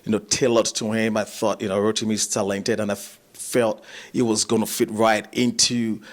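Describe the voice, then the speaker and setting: A man from Nigeria, a musician and actor, chatting in an interview, relaxed and chuckling, with many fillers and restarts. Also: fairly low pitch, moderate pace. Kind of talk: casual interview chat.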